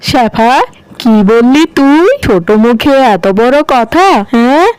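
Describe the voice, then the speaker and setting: Cartoon tigress mother, played by a woman: high, clear voice, scornful and indignant, always scolding. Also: slow pace, darkish tone, dry recording, low-quality recording. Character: haughty, scornful tigress mother quick to take offence. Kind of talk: animated cartoon dialogue.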